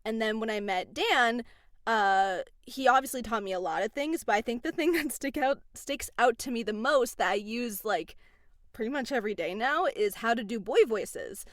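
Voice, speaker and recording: Brief: young woman, voice actress guest, high-ish pitch, dry close sound, chatty and animated. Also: moderate pace, no room sound. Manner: chatty, animated, laughs between phrases. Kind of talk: casual interview answers.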